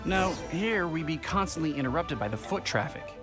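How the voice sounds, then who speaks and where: Man playing a genial, lively-pitched innkeeper. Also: moderate pace, natural voice. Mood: genial, helpful. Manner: chatty, thoughtful, polite. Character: genial, practical middle-aged male innkeeper. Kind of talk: video game dialogue. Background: music.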